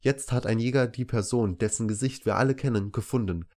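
A man's voice: mid-range voice, brisk, a little mellow, pitched above the performer's own voice, close to an everyday voice. A man voicing a formal, factual television news reporter within a story. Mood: formal, urgent. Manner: formal, factual newsreader delivery.

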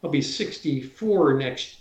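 A man's voice: a little high, lively intonation, slow pace, reverberant. A man in his sixties, a drywall taper, chatting casually as an interview guest and explaining his work in hands-on detail. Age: 60s.

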